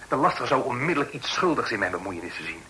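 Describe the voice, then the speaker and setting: An actor playing an earnest, cautious young gentleman in a period radio drama, speaking in a formal, measured way. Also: medium pitch, sing-song. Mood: cautious, regretful. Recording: noisy old radio drama recording.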